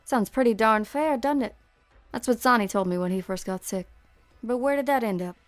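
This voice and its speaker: A woman's voice: on the high side, normal speed, soft background music, close to an everyday voice. A woman voicing a jaded, hard-bitten police officer in a game fan dub. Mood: bitter, resigned.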